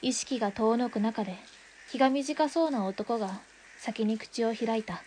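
A woman voicing a softly reflective young narrator. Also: on the high side, moderate pace, a little hiss or hum, lightly acted. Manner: soft, reflective storytelling. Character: wounded girl hostage, later recalling as narrator. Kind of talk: radio drama narration.

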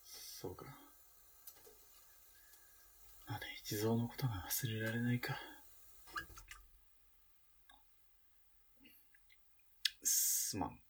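A man playing an awkward, evasive former soldier in a radio drama, speaking in a hesitant, halting way. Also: on the low side, fairly even intonation, husky, in a whisper. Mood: grave, subdued. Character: awkward, evasive male ex-soldier, reluctant bearer of news. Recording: distant from the mic.

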